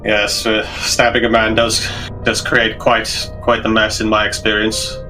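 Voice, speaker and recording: A man playing a tense, haunted war veteran in a tabletop game, speaking in a halting, formal, old-fashioned way. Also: fairly low pitch, completely flat delivery, pushed and bright, faint music behind, poor audio.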